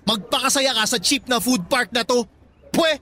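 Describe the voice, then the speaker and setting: A man's voice: extremely high pitch, normal speed, bright, forceful tone, exaggerated voice. An actor playing a sarcastic, moody young boyfriend who mocks and mimics his partner. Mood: irritated. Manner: mocking, mimics her words.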